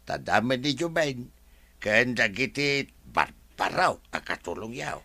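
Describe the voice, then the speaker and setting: A man playing a gravelly, humble old servant. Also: mid-range voice, big pitch swings, bright, forceful tone, dry recording, heavily acted. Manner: humble, halting, deferential. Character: old male household servant, humble and apologetic. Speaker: servant character. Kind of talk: radio drama acting.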